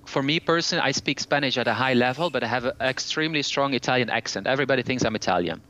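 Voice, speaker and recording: A man's voice: mid-range voice, rapid-fire, sharp tone. A man talking as a guest language teacher in a casual podcast conversation. He explains his views at length and thinks aloud.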